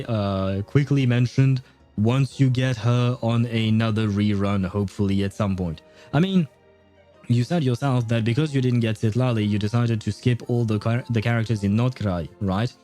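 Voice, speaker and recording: A man livestreaming a video game, chatting casually with viewers in a rambling, halting way. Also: mid-range voice, warm tone, faint music behind. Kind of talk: casual livestream chat and commentary.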